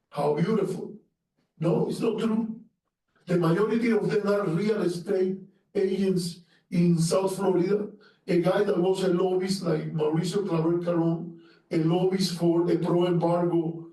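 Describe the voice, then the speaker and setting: A man's voice: sits high, dark tone. A man from Cuba with a Cuban Spanish accent, speaking earnestly at an academic seminar, often restarting his phrases. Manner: earnest, halting with restarts and fillers. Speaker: panelist. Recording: echoey room, mic far off.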